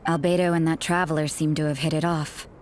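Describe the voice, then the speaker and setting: A woman's voice: deep voice, flat delivery, brisk, a little hiss or hum, lightly acted. A woman playing a wary, suspicious observer with a dry, mildly scornful way of talking, in a game.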